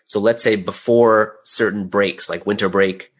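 A man with a fairly low voice talks as a guest in an interview. He explains things in a casual, conversational way. He often doubles back on words and pauses to find the next phrase. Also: fairly even intonation. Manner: informal, hesitant, often repeating words mid-thought. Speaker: interview guest, audio drama creator and business owner.

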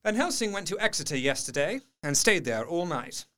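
A man's voice: a little high, sing-song, brisk, bright, forceful tone. An actor playing an educated, skeptical doctor in an audio drama, articulate and precise as he tells his diary. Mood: reflective.

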